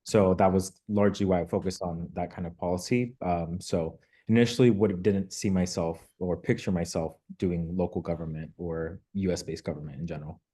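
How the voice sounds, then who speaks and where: A young man from Mexico answers interview questions, thoughtful and measured, pausing on small fillers. His voice sits low and deep, with a dark, mellow tone. He sounds like a local official telling his own story. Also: fairly even intonation, breathy edge. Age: young adult. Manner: measured, reflective, with filler words. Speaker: guest, local elected official.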